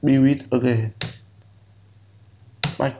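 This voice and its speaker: Slow, halting man with a lo-fi mic. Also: mid-range voice, steady tone, mellow, slight background noise. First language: Vietnamese. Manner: slow, halting step-by-step instructions in non-native English. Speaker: programming instructor. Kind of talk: screen-recorded software tutorial.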